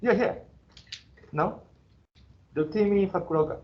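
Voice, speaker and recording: Japanese-accented man teaching in an echoey room. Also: high voice. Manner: chatty and joking, halting with fillers. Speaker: university professor. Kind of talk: casual first-day class talk. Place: classroom. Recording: echoey, dull classroom recording with faint hiss.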